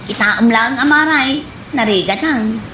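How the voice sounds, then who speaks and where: A woman's voice: on the high side, unhurried, sharp tone. A woman with an Ilocano accent playing a suspicious, nagging friend in a comedy radio drama. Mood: worried, warning. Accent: Ilocano, from the Philippines. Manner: suspicious, complaining. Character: wary, nagging woman friend who distrusts strangers. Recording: echoey room, faint background noise.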